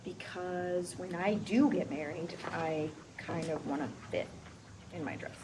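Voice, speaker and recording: An actress playing a sweet, slightly nervous bride-to-be, hesitant and gentle, in a sitcom table read. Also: female voice, fairly low pitch, fairly even intonation, measured pace, close to an everyday voice. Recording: very noisy live room recording.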